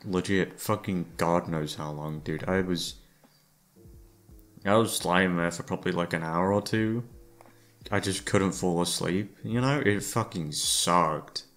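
Low, gravelly, weary young-sounding man rambling. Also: a little slow. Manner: sweary, hesitant, self-deprecating. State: tired, downcast. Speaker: audio creator talking to listeners. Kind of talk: rambling casual monologue. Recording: music playing behind the voice. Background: soft background music.

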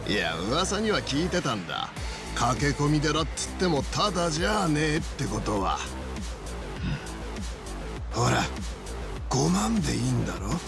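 An actor playing a brash, short-tempered former yakuza thug in a video game, pushy and full of coarse slang. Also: male voice, a little high, big pitch swings, measured pace, gravelly, pauses often, over music, exaggerated voice. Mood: sly, ingratiating. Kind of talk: dramatic video game voice acting.

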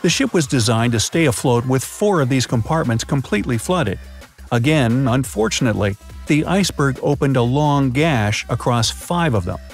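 Male voice-over narrator, scripted explainer, playful asides, mid pitch, soft music behind. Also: animated, normal speed. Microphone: studio voice-over. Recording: faint background music.

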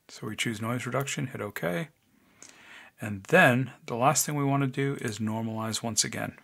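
A man with a deep voice, hosting a casual screencast tutorial and talking through each click step by step. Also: vocal fry, fairly bright tone. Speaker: software tutorial host. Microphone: desk microphone, voice-over of screen recording.

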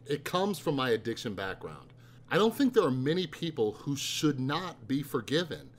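A man in his thirties reading a chapter of his own book aloud in a steady, subdued tone. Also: mid-range voice, normal speed, slight background noise. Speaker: author.

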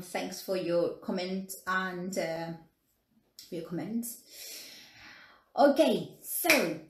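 A woman's voice: medium pitch, echoey room, slight background noise. Chatty Peruvian woman teaching English. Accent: Peruvian Spanish. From Peru. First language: Spanish. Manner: chatty, laughs often, many fillers and tag questions. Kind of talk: casual live-stream teaching. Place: small room indoors.

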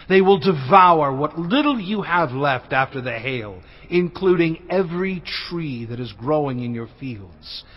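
Moses, earnest urgent prophet, higher guy voice, kinda dull audio.

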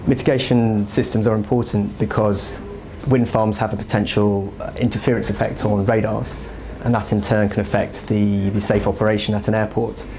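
Low, flat-toned, mellow man. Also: moderate pace, soft background music. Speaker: aviation consultant. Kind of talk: explanatory interview. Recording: faint indoor ambient noise.

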